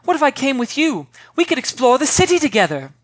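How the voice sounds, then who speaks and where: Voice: high voice, big pitch swings, a little fast, no room sound, voice pushed up. The narrator putting on a chatty, imaginative young schoolgirl who babbles eagerly and dramatically in a storybook reading. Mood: eager, babbling. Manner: babbling, breathless run-on chatter.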